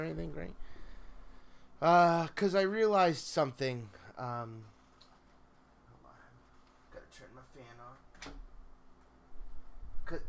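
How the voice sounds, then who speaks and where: A man hosting a story-reading livestream, chatting casually in a halting, think-out-loud way over background noise. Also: fairly high pitch. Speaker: host of a spooky story reading stream. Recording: clear steady background noise.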